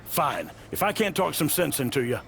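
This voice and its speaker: A man playing a stern, no-nonsense veteran wrestling coach in a video game cutscene, gravelly and blunt. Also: fairly high pitch, very animated, moderate pace, fairly bright tone, slight background noise, heavily acted. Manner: blunt, reproachful.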